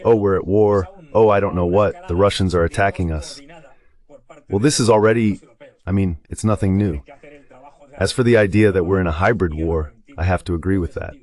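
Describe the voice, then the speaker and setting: A man's voice: deep voice, steady tone, normal speed, darkish tone. A man reading a translated voice-over of political commentary in an emphatic, conversational way.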